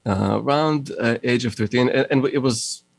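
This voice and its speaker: A middle-aged man from Moldova with a mixed Russian and Israeli accent, talking haltingly in a casual interview, with many fillers and restarts. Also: medium pitch, creaky, no echo. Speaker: guest, audio company founder.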